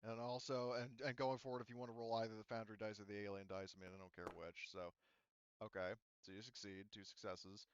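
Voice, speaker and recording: A man's voice: on the low side, little pitch movement, fast, smooth, no echo, lo-fi sound. A man casually running a tabletop game as game master, hesitating and thinking aloud as he gives rulings.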